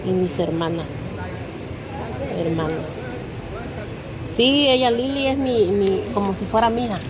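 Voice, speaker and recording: A woman's voice: mid-range voice, unhurried, a crowd in the background, low-quality recording. A middle-aged or older woman with a Mexican accent, chatting casually and affectionately in a street interview outdoors. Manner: affectionate, using diminutives. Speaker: passer-by being interviewed. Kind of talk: casual chat, sending greetings. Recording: busy street with people behind.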